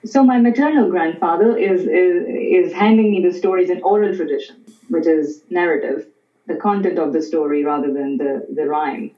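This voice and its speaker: Guest scholar on distant audio. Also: mid-range voice. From Pakistan. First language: Urdu. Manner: measured, explanatory. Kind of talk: conversational interview. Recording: distant, roomy, dull remote audio.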